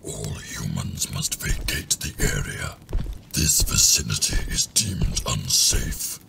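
A hulking rhino-like alien enforcer, extremely low and heavily gravelled. He barks out slow, clipped official orders in an audio drama, with a forceful edge. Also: sing-song, background noise, exaggerated voice. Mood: cold, commanding. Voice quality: deep, gravelly growl. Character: brusque, officious alien rhino soldier, a Judoon.

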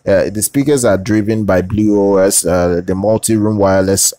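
Guy doing off the cuff gadget news, kinda monotone and soft-edged, keeps restarting his phrases. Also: lowish voice, no echo. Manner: halting, repeats and restarts phrases. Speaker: tech reviewer, channel host. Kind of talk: unscripted product news commentary.

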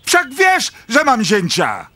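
A man's voice: extremely high pitch, huge pitch swings, slow pace, bright, forceful tone, no echo, heavily acted. A man playing an indignant, frantic aristocratic father in a radio drama, with a blustering, exclamatory theatrical delivery. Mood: exasperated.

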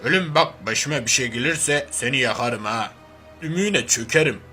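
A man's voice: medium pitch, lively intonation, normal speed, pushed and bright. A man voicing a crude, hot-headed, hairy oaf of a superhero in a comic game cartoon, with brash, slangy, grumbling street talk. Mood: uneasy, threatening. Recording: music behind the voice.

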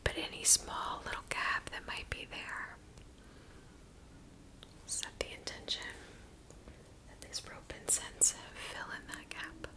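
A woman whispering slowly and soothingly as she guides a listener through a reiki session in an ASMR video. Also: poor audio. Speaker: reiki practitioner.

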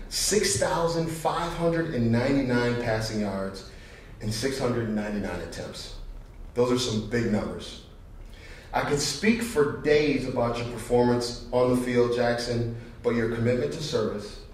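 Middle aged guy doing an award speech on stage, folksy, super echoey and kinda far from the mic. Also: medium pitch. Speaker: guest presenter, company manager. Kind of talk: award presentation speech to an audience. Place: stage in an auditorium. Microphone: podium microphone. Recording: very echoey hall, distant, faint noise.

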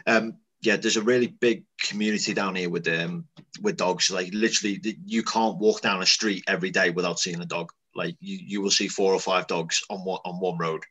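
A man's voice: sits low, fairly even intonation, a little fast, a bit bright, husky. A young man with a Liverpool accent talking casually and matter-of-factly about his work as a security dog handler over a video call. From Liverpool, England. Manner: conversational, matter-of-fact, loose with grammar. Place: small room indoors. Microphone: webcam on video call.

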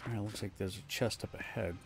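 A man's voice: on the low side, fairly even intonation, fast talker, gravelly. A man streaming a game, casually talking to himself as he explores and fights. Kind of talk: live gameplay commentary. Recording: game music behind voice.

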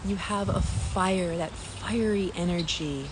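A woman leading a spiritual guided meditation in a gentle, soothing manner, with long pauses between phrases. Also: on the low side. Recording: clear environmental noise, birdsong.

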